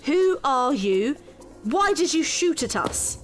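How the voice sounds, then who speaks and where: A woman's voice: sits high, sing-song, faint music behind, pitched above the performer's own voice, exaggerated voice. A woman voicing a stern, commanding female reptilian detective with an imperious manner, in an audio story. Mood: menacing. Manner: slow, imperious.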